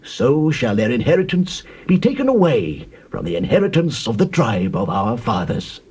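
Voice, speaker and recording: An earnest clan chief in a scripture audio drama. His voice is rough-edged and medium in pitch, with sweeping rises and falls. He declaims slowly and formally. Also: huge pitch swings, darkish tone. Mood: earnest, petitioning. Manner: declamatory, deliberate, swelling and falling phrases.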